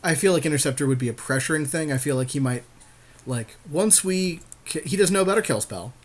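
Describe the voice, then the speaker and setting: A man's voice: a little high, animated, fairly quick. A man with a wry, joking, slangy way of talking, co-hosting casual gameplay commentary alongside a friend. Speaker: podcast co-host playing an online card game.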